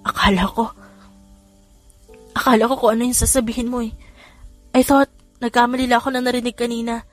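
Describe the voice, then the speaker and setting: A woman's voice: fairly high pitch, flat delivery, a little fast, lightly acted. A woman voicing a shy, emotional young woman in a romance audiobook, with music behind. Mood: tearful, tender. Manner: stammering, tearful.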